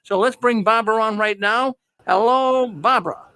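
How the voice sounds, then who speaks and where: A man's voice: high voice, normal speed, sharp tone, clean tone. A man hosting a live online show, welcoming viewers in an upbeat, repetitive announcer manner. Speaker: show host.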